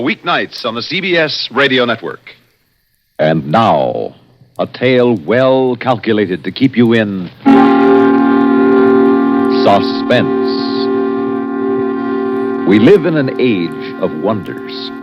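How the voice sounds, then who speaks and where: An American man announcing a 1960s radio drama in a formal, dramatic, deliberate scripted style. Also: medium pitch, muffled recording. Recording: vintage band-limited radio with music.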